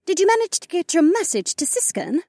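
A woman playing a sweet-voiced, brisk and teasing phoenix wife in an audiobook. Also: very high-pitched, big pitch swings, darkish tone, no room sound, studio quality, full character voice. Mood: chatty, teasing. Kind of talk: audiobook character dialogue.

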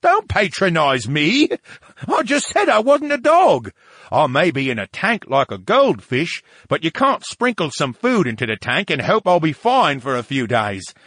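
A man's voice: fairly high pitch, wildly swooping pitch, a little slow, a bit bright, no room sound, clean studio sound, voice pushed up, full character voice. A man voicing a needy, grumbling brain in a tank, complaining theatrically in a storybook. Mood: grumbling, aggrieved. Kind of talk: audiobook character dialogue.

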